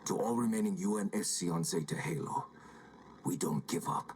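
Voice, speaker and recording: Actor as a deep-voiced defiant soldier. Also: male voice, moderate pace, a little mellow, whispered, natural voice. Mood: solemn, defiant. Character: defiant male super-soldier rallying comrades. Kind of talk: solemn video game broadcast message.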